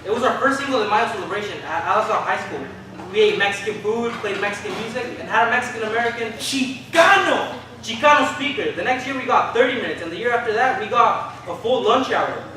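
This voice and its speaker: A man's voice: high-pitched, expressive, fast, bright, forceful tone. A man reading the part of a spirited young Chicano activist, telling his story in slangy, theatrical monologue with Spanish words. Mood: proud, reminiscing. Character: Philip, spirited Chicano youth recalling 1970 activism. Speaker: stage reader in a documentary play. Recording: echoey room from far off, noisy.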